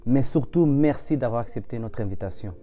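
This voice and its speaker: A man's voice: medium pitch, a little fast, warm tone. A man hosting a gospel music show, who gives a formal welcome and then interviews his guest. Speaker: show host. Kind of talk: scripted show introduction and interview.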